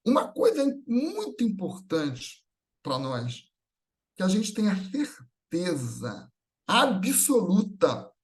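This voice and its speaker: A Brazilian man speaks in a notably high voice, teaching at a spiritual gathering. He explains in a didactic, semi-improvised way, repeating phrases for emphasis and checking in with short tag questions. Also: darkish tone. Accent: Brazilian Portuguese.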